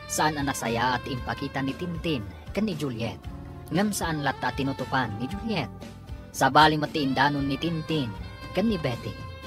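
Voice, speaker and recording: Low-voiced, dramatic female radio narrator. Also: animated, normal speed. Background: music.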